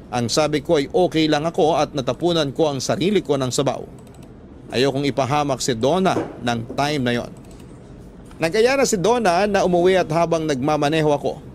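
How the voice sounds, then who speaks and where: A man with a Filipino accent narrating a scripted first-person story dramatically, as a radio host reading a listener's letter. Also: a little high.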